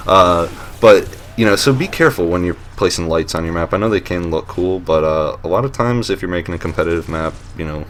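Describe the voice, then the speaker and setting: Deep-voiced man, relaxed rambling commentary. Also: slight background noise. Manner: relaxed and rambling, with many fillers and restarts; dry, joking self-mockery. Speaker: host of a map showcase series. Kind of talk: casual voice-over commentary on gameplay footage.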